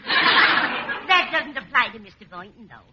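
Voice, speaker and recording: A woman's voice: a little high, sing-song, a little slow, dull audio, over a noisy crowd. Lilting, motherly radio landlady. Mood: cheerful, soothing. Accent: American. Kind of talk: 1940s-50s radio comedy acting. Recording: noisy, band-limited old radio recording.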